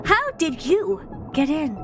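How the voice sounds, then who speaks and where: A woman's voice: high-pitched, sing-song, takes it slowly, over music, exaggerated voice. A woman voicing a haughty, exasperated genius scientist in a video game, imperious, curt and condescending. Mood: annoyed. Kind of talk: video game character acting.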